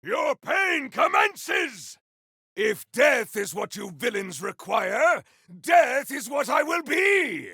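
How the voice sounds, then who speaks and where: A male voice actor playing a grandiose, battle-hungry video game warrior, declaiming in a bombastic, archaic style with a rough, gravelly voice. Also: extremely high pitch, big pitch swings, slow pace, sharp tone, dry recording, raised voice, clean studio sound, full character voice. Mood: battle fury. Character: grandiose battle-hungry warrior wielding fire, frost and storm. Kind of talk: video game combat barks.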